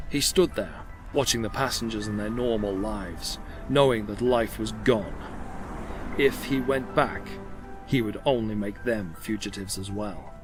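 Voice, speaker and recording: A man narrating an audio drama with scripted, dramatic storytelling delivery. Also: lowish voice. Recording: loud music behind the voice.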